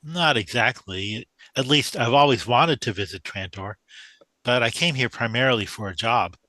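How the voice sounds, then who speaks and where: Man playing an earnest young newcomer, bright voice. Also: mid-range voice, moderate pace, no echo, natural voice, low-quality recording. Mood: hesitant, earnest. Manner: polite, naive, hesitant. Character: polite, earnest young male mathematician new to the big city. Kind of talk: radio drama acting.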